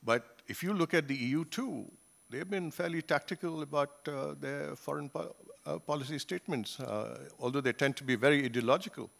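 An older man gives a panel talk at a conference table, speaking into a gooseneck microphone. His delivery is measured and thoughtful, often pausing on fillers and restarting his phrases. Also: fairly high pitch, vocal fry, fairly bright tone. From Singapore. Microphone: table gooseneck mic.

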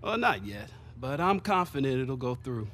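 Lively, contented small-business boss. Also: male voice, mid-range voice, normal speed. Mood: pleased, relaxed. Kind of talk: video game cutscene acting.

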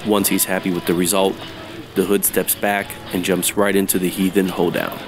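A hard-boiled detective played by a man narrates in a deep voice over music. He is deadpan, slangy and unfazed, in the manner of an old-time radio noir serial. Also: moderate pace, close to an everyday voice. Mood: sardonic.

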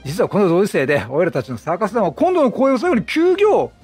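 男性が演じる高めの声の熱心なサーカス新人青年. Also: male voice, high-pitched, very animated, fast talker, a little mellow, husky, faint music behind, full character voice. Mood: earnest, pleading. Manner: earnest, deferential underling talk. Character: eager, polite young male rookie circus member. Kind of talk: game dialogue read aloud by a player.

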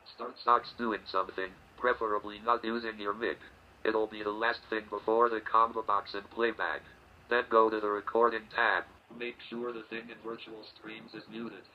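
A synthetic male screen reader voice reading text aloud in a flat, mechanical delivery. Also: fairly low pitch, moderate pace. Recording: dull, noisy playback from computer speaker.

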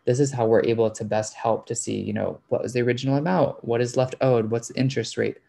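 Creaky-voiced man presenting a webinar. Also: mid-range voice, fairly even intonation, quick pace, mellow. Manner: explains step by step. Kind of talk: informal explanatory webinar presentation. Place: online video call webinar.

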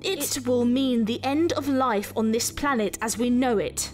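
A woman's voice: fairly high pitch, a little mellow, soft background music, close to an everyday voice. A woman voicing a stern, commanding female reptilian detective with an imperious manner, in an audio story. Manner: slow, imperious.

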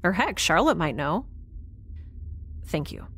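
A woman's voice: lowish voice, sing-song, slow, a bit bright, husky, exaggerated voice. A woman playing a thoughtful, curious young scientist, conversational and a little hesitant, in a naturalistic audio drama. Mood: subdued, sincere.

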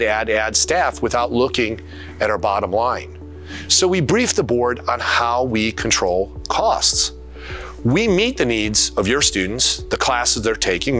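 A man with a mid-pitched voice speaks as a school superintendent delivering a community update. His phrasing is deliberate and emphatic, leaning on key words and itemized lists. Faint music plays behind his voice. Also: a bit bright. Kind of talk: prepared video briefing to community.

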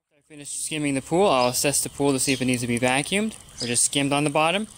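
A man's voice: medium pitch, quick pace, a bit bright, soft background music. A pool service technician talking through his weekly routine in a plain, matter-of-fact instructional voice-over.

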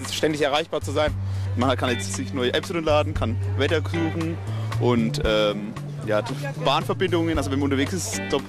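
A man's voice: a little high, fairly quick. A man giving casual, spontaneous answers as an interviewee in a survey. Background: background music.

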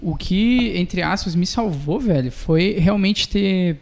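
A man's voice: high-pitched, lively intonation, slow, vocal fry. A young man with a southern Brazilian accent chatting casually as a guest musician into a close podcast mic. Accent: southern Brazilian (gaúcho). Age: young adult. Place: small indoor podcast studio. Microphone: close studio mic on a boom arm.

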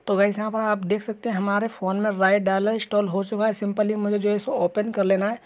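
Man, rapid delivery, monotone, informal step-by-step phone tutorial voice-over. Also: high voice. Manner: repetitive, addressing viewers informally as guys. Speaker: tech tutorial host. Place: voice-over on a phone screen recording.

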